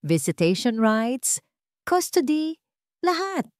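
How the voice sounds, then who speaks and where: A woman's voice: high voice, very animated, slow pace, no room sound, studio quality, voice pushed up, full character voice. A woman voicing a determined, defiant billionaire son and father in a story, firm and insistent.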